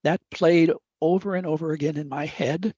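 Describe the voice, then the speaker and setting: Measured older man, slightly high voice. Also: steady tone, a little mellow, no echo. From upper Midwest United States. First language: Italian. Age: 80s. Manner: measured, deliberate, with frequent uh fillers. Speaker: guest speaker. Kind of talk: reflective storytelling talk. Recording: dull dry lo-fi recording.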